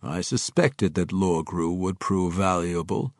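A proud, scheming dark elf wizard as a male narrator plays him speaks with formal self-assurance. The voice is fairly low and recorded dry. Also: unhurried, dry recording. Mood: smug.

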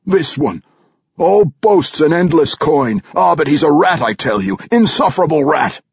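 Cowering male driver, high, babbling and gasping; a man reads it. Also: lively intonation, measured pace, vocal fry, husky, no room sound, muffled recording, full character voice. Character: terrified male wagon driver, groveling.

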